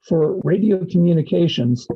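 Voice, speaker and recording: A man giving an informal instructional slide presentation, explaining things in a halting way with pauses mid-sentence. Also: high voice, husky, lo-fi sound. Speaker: college instructor and radio club advisor giving a presentation.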